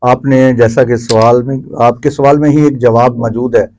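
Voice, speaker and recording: A man's voice: medium pitch, flat delivery, darkish tone. A man with a Pakistani accent giving explanatory answers as a guest commentator in an interview.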